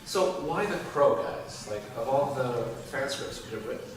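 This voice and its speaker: A man's voice: mid-range voice, expressive, moderate pace, recorded from a distance. Man moderating in an echoey, noisy room. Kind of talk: casual event moderating. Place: indoor room at a table read event.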